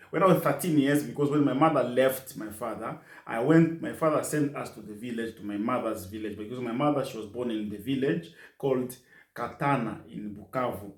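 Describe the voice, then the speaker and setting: A middle-aged man from Congo speaks English, his being French. His voice sits at a middle pitch and he talks earnestly, circling back and repeating phrases as he goes. The recording is roomy, with clear echo. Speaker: interview guest. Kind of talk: casual storytelling interview.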